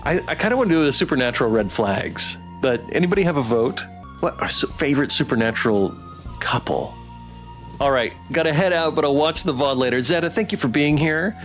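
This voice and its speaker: Male game streamer, casual livestream chat, slightly high voice, animated intonation, faint background music. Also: fairly quick.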